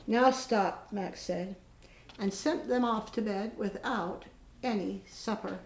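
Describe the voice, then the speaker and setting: An older woman, a grandmother storyteller, reads a children's picture book aloud in an expressive, dramatic way with drawn-out pauses and stressed words. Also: mid-range voice. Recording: roomy echo with faint background noise.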